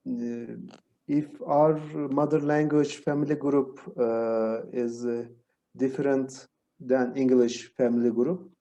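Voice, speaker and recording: A man from Turkey with a Turkish accent, a native Turkish speaker, hesitantly asks a question on a video call and often pauses to search for words. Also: medium pitch, fairly even intonation, dark tone, dull audio. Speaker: webinar participant asking a question. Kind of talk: hesitant question in a second language.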